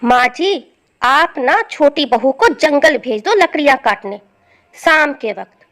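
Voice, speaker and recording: A woman's voice: sits high, expressive, takes it slowly, a bit bright, full character voice. A woman voicing a jealous, scheming elder daughter-in-law in a storybook tale, insinuating and urging. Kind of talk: storybook acting.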